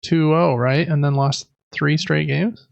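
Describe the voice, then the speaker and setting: Male podcast co-host, easygoing and chatty, gravelly fry in the voice, dry and slightly lo-fi sound. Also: a little high, fairly even intonation, unhurried, no room sound. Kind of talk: casual chat.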